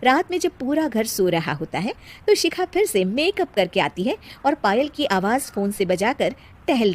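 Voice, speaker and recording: A woman reading scripted narration for a cartoon moral story as the storyteller. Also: a little high.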